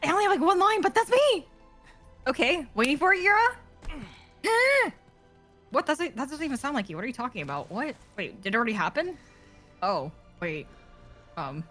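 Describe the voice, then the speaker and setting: A woman's voice: high voice, very animated, moderate pace, pauses often, a bit bright, soft background music. A woman guesting on a gaming stream, giggling, swearing and stammering through casual chat.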